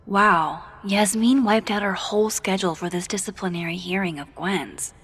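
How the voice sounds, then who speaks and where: A woman's voice: medium pitch, moderate pace, fairly bright tone, slightly rough. An actress playing a dry-witted, introspective young woman in a naturalistic video game, speaking in an understated, wry, hesitant way. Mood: musing, curious.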